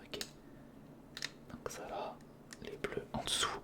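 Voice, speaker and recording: An ASMR creator speaking in a breathy, unvoiced whisper, casually muttering and thinking aloud through a hands-on build. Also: takes it slowly.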